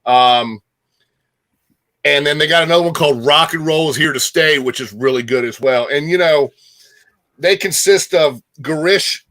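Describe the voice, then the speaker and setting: A man's voice: a little high, normal speed, fairly bright tone. A man hosting a music show in a casual, informal chat, repeating phrases for emphasis.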